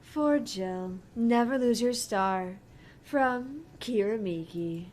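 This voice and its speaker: A breezy young pop idol from a video game, read aloud by a woman, earnest and a little theatrical. She speaks at an unhurried pace, over clear recording noise. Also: fairly high pitch, expressive, darkish tone. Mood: proud, matter-of-fact.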